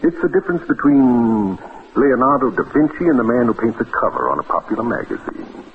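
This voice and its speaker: An actor playing an urbane middle-aged lawyer and bon vivant, theatrical, pompous and wry, in an old radio drama. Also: male voice, medium pitch, sing-song, fairly quick, natural voice. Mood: wry, expansive. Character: urbane, grandiloquent bachelor lawyer, worldly, brandy-loving bon vivant. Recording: tinny, noisy old radio recording.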